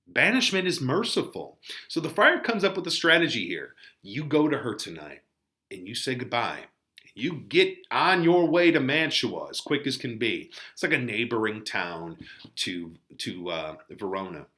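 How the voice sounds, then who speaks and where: Animated, bright-voiced male teacher. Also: mid-range voice, normal speed. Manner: animated, colloquial, acts out paraphrases. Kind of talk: casual literature lesson with commentary.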